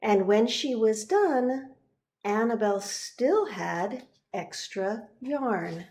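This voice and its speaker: A woman narrating a children's picture book, expressive and deliberate, pausing for emphasis. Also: fairly high pitch. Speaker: reverend reading a picture book.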